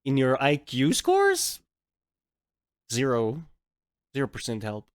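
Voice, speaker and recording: A man's voice: medium pitch, wildly swooping pitch, slow, no echo, studio quality. A man hosting a reaction video, talking in a casual, blunt and joking way and laughing often.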